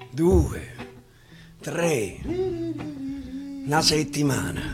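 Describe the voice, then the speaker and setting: A man with a Roman accent reciting a dialect narrative poem with theatrical swings from near-whisper to loud bursts. His voice is fairly high and his pace slow and weighted. A guitar plays clearly underneath the radio recording. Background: guitar music.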